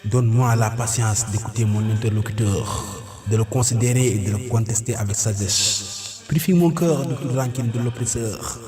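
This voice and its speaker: A man reciting devotional poetry as a solemn, pleading prayer, declaiming it in measured short phrases. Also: medium pitch, over music. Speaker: poet reciting prayer poems.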